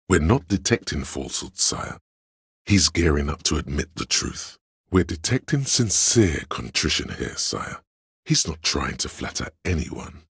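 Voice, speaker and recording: Game role: grandiose, scheming courtly inner voice, extremely deep and husky, archaic and deliberate delivery. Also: male voice, a bit bright, no echo, clean studio sound, exaggerated voice. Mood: grandiose, dramatic. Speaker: video game voice actor. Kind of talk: theatrical second-person game narration.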